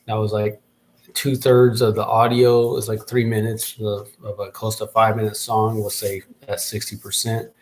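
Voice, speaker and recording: A man's voice: lowish voice, little pitch movement, moderate pace. Rambling man giving a casual update. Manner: rambling, thinking aloud with restarts. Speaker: podcast host and rap artist.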